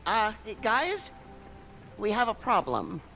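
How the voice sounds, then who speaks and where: Meek, deferential monkey servant for a fantasy game: very animated pitch, hesitant, eager to please. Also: male voice, slow, creaky, full character voice. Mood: nervous. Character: meek, polite, eager-to-help male flying monkey servant. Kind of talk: video game voice acting. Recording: music under the dialogue.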